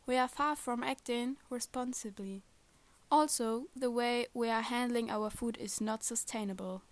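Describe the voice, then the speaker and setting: A woman's voice: on the high side, normal speed, no echo. Earnest young woman, dry sound. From Germany. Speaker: podcast host. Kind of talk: reading prepared remarks.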